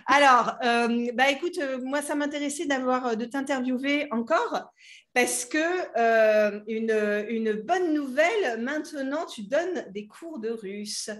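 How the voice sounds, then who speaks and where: Woman, French accent, interview host, higher pitch, chatty, laughs often. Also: a little slow, fairly bright tone. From France. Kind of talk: friendly interview chat.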